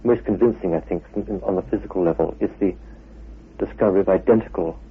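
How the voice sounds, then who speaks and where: Male scholar interviewee, rather low and very husky, thoughtful halting delivery, thin lo-fi audio. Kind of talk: unscripted interview talk. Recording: muffled phone-like interview recording.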